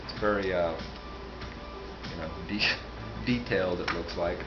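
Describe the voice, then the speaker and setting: Music plays clearly behind a man with a fairly low voice. He talks casually and without a script, in a halting, rambling way with asides that trail off. The recording is a little dull and lo-fi. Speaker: book reviewer, vlogger. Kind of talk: casual, unscripted talk to camera.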